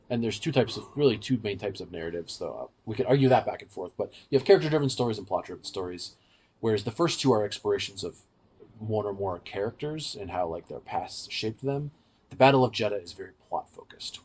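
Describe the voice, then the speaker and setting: Male podcast host, very low register, husky edge, casual explanatory delivery. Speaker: podcast host reviewing audio dramas. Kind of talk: casual explanatory review chat.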